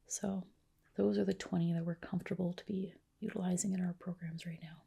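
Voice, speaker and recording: A woman whispering gently and reassuringly in a breathy voice, playing a spa clinician in an ASMR roleplay. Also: fairly low pitch, little pitch movement, a little fast.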